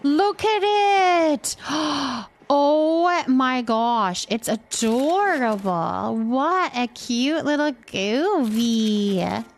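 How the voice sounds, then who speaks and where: A woman's voice: sits high, lively intonation, drawn-out words. A woman hosting a live game stream, chatting casually and thinking aloud as she goes.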